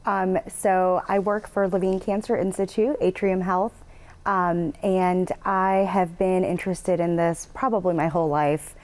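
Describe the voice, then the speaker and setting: Soft-spoken woman sharing her story. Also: on the low side, little pitch movement, unhurried. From United States. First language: Spanish. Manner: soft-spoken, earnest, frequent ums. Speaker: panelist. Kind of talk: personal storytelling on a panel.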